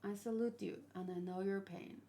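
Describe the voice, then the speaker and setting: A woman's voice: mid-range voice, steady tone, moderate pace, dark tone. A woman hosting a read-along, giving casual, emotive commentary in a conversational way that often trails off mid-thought. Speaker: host of a manga read-along. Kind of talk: casual reaction commentary, reading aloud.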